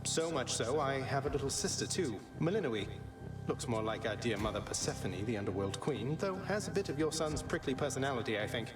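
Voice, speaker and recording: An actor playing a cocky young prince of the gods in a video game, with a wry, nonchalant manner. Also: male voice, mid-range voice, animated, fairly quick, close to an everyday voice. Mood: wry, earnest. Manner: wry, nonchalant, politely cheeky. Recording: loud music behind the voice. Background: loud game music.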